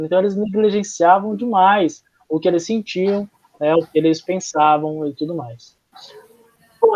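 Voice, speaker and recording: A man's voice: a little high, dark tone. Chatty Brazilian male teacher, lo-fi sound. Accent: Brazilian Portuguese. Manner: chatty, informal, frequent fillers and restarts. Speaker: history teacher. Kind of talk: casual classroom lecture. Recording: dull, lo-fi.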